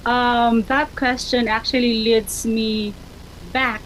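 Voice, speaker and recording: A woman with a Filipino accent is a guest on the show, talking about her work as a tour guide. She speaks very slowly and carefully, pausing on fillers, in a fairly high voice over faint ambience. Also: level tone, a bit bright, slight background noise, poor audio. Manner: measured, hesitant, many fillers. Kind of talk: interview answers.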